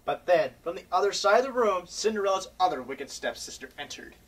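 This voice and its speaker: Animated storybook narrator. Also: mid-range voice, brisk. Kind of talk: comic storybook stage narration.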